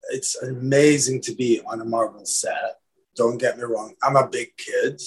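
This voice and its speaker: Husky, animated man from Lebanon. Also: medium pitch, moderate pace, low-quality recording. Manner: animated, repeats words, swears casually. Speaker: actor guest. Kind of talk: casual interview chat.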